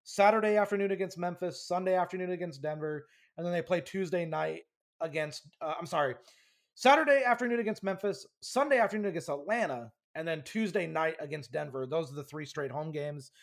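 Young male podcast co-host, high voice, casual and lively, laughs easily. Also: a little fast, vocal fry. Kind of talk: casual sports podcast chat.

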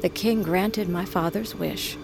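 A low-voiced, middle-aged mother in a fantasy audio drama. She is solemn and grieving, speaking with measured weight as if handing down an old tale, with music clearly underneath. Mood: solemn, reverent. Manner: measured, weighty, storytelling delivery. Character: grieving, solemn mother, proud wolf queen's daughter.

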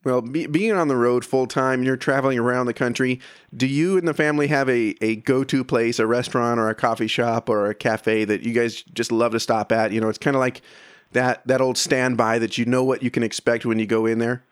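A man's voice: medium pitch, steady tone, fairly quick, clear, resonant tone, dry recording, studio quality. A man hosting an interview show, putting questions to a guest in a conversational style.

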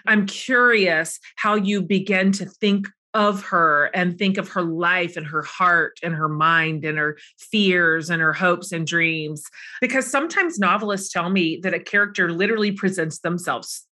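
Bright-voiced woman podcast host. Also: on the low side, a little slow. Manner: enthusiastic, lingering questions. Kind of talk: book club interview.

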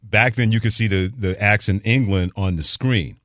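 A man's voice: fairly low pitch, normal speed, fairly bright tone, no room sound, clean studio sound. A middle-aged man hosting sports talk radio in a casual, bantering style.

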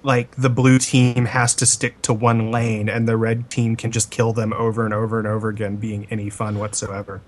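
A man's voice: on the low side, completely flat delivery, moderate pace, hardly any pauses. A man co-hosting a podcast, talking in a casual, conversational way. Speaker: podcast co-host. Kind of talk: casual chat.

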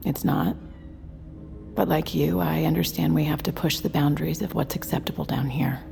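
An actress playing an earnest, conspiratorial researcher in an audio drama, insistent and persuasive. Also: female voice, sits low, steady tone, normal speed, creaky, mellow.

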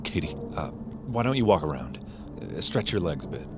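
Anxious man with wildly swinging pitch. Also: sing-song, brisk, noisy background. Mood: coaxing. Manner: urgent, pleading. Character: anxious, desperate, protective man urging a sleepy friend on. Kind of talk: naturalistic audio drama acting. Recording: loud music, vehicle sounds behind.

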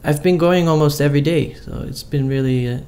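A man's voice: fairly high pitch, fairly quick, warm tone. A man co-hosting a casual chat, talking in a hesitant way with many fillers and restarts.

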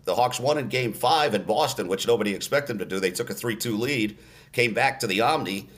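A man in his sixties, a radio play-by-play announcer, telling stories in a relaxed interview, unhurried with many fillers and restarts. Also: mid-range voice. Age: 60s.

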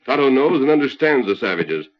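An actor playing a calm, resolute masked cowboy hero in an old radio western, with firm, formal delivery. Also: male voice, medium pitch, brisk. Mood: firm, defiant. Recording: muffled old radio recording.

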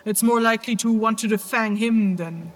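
A woman's voice: on the high side, moderate pace, a little hiss or hum, close to an everyday voice. A woman playing a proud, distrustful summoner in an audio drama, speaking in a curt, authoritative and dry manner. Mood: explaining, firm. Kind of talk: audio fiction acting.

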